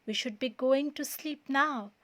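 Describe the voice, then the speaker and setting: Patient male frog friend for a children's story, woman performing: high, gentle, helpful, plain-spoken. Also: a little slow. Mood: calm. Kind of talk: children's storybook read-aloud.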